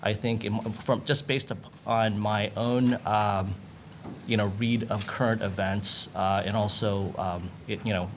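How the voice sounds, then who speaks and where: A man with an American accent giving unscripted panel remarks, halting and thinking aloud as he goes. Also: on the low side, steady tone, a little hiss or hum. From United States. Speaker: panelist, lawyer.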